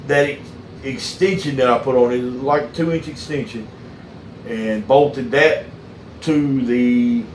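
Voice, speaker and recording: A middle-aged man with a Southern US accent, chatting casually and telling stories in a folksy, halting way. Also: medium pitch, a little mellow, reverberant, a little hiss or hum, poor audio. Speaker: mechanic and channel host. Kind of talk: casual phone chat with storytelling.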